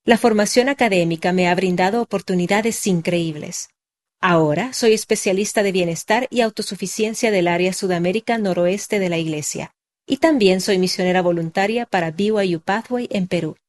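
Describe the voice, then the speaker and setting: A young adult woman narrating a personal testimony in a measured, earnest scripted read. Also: medium pitch.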